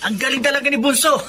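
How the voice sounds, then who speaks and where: An actor playing a teasing, admiring elder brother in a Filipino radio drama. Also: male voice, extremely high pitch, noisy background.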